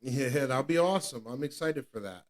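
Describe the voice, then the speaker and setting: A man's voice: mid-range voice, normal speed. Man in his thirties, earnest, stumbling restarts, repetitive, candid podcast talk. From New England. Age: 30s. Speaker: podcast co-host. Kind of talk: candid personal testimony.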